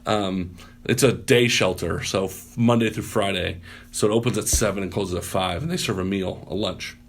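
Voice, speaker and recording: A man's voice: low-pitched, moderate pace. A man who works as a pastor, telling stories, acting out what people said. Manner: animated storyteller, loud voice, acts out quotes. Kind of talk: casual podcast storytelling.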